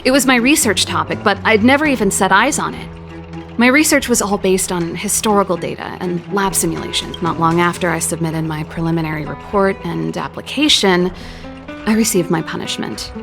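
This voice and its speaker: An actress voicing a wry, candid young exiled scientist in a video game, talking in a reflective, articulate way. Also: female voice, fairly low pitch, normal speed, gravelly. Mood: explanatory. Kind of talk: scripted dramatic game dialogue. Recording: loud background music under the voice. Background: game cutscene music.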